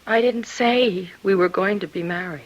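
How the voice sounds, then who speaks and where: An actress playing an earnest young secretary torn by love and duty in a radio drama, hesitant and pleading. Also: female voice, medium pitch, moderate pace, dull audio, close to an everyday voice. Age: young adult.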